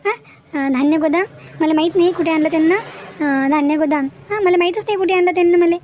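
A woman's voice: very high voice, fast, a little mellow, slight background noise. A woman voicing a kidnapped village wife in a cartoon, pleading and repeating herself. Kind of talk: Marathi cartoon comedy dialogue.

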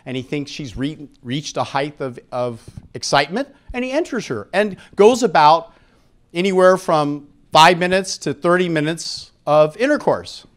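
Higher-pitched American man giving a lecture. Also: lively intonation, moderate pace. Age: middle-aged. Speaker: speaker at an expo seminar. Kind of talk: public lecture with questions to the audience. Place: conference room at an expo, live audience. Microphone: handheld microphone.

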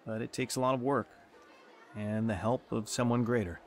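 A man's voice: medium pitch, fairly even intonation, some chatter behind, a little hiss or hum, lightly acted. A man playing a patient, kindly pastor with faint ambience. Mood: earnest, explaining. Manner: measured, reassuring, explanatory. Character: kindly male pastor, patient and gently counseling. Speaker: actor in a radio drama.

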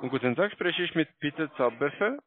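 A man with a foreign accent, a non-native speaker giving a halting, scripted sales pitch. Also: lively intonation. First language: not German. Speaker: call-centre salesman. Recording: dry, tinny phone line.

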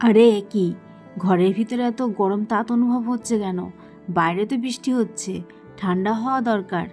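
A humble cartoon husband and father, voiced by a woman, speaks at a middling female pitch. He is earnest, loving and self-blaming, with faint music underneath. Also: moderate pace, darkish tone, lightly acted. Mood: alarmed. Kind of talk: Bengali cartoon voice acting.

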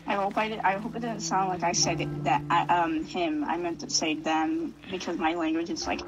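High-voiced gamer friend, music behind. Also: fairly even intonation, moderate pace, lo-fi sound. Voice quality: high-pitched. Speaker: co-player. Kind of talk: casual gaming chat.

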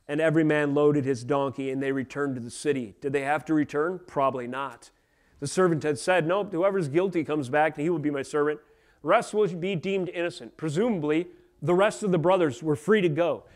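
Higher-pitched American male preacher. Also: a little mellow. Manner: earnest, deliberate, formal wording. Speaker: pastor. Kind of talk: expository sermon with scripture reading. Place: church stage at a wooden pulpit, indoors. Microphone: handheld microphone held at chest.

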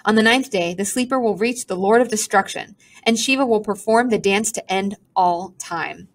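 A woman's voice: mid-range voice, moderate pace, close to an everyday voice. A woman voicing a melodramatic, self-pitying talking pigeon with a pompous, theatrical manner. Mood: haughty. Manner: theatrical, sighing, haughty complaints.

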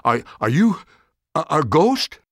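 Storybook role: skeptical young girl, performed by a man, sulky and blunt, very animated, dry recording. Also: a little high, slow pace, no echo, voice pushed up, exaggerated voice. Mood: frightened. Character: sneering, impatient young girl, stubborn and skeptical.

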